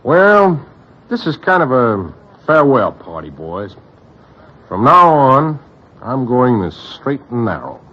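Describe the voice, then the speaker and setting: An actor playing a lovestruck, weary New crook who wants to go straight, in a 1940s radio drama with a husky voice. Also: male voice, medium pitch, very animated, takes it slowly, a bit bright, full character voice. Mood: easygoing, rueful. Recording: dull vintage radio recording.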